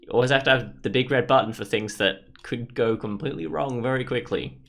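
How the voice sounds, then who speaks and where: A man's voice: mid-range voice, a little fast, creaky, fairly bright tone. A man hosting a game walkthrough, giving casual, informal, rambling commentary as he plays. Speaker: gaming video host.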